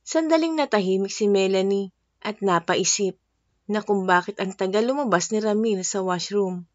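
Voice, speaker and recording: A woman narrates a scripted love story in a medium-pitched voice. She is recorded close and dry, as a voice-over. The audio is slightly dull and lo-fi. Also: darkish tone, dry recording.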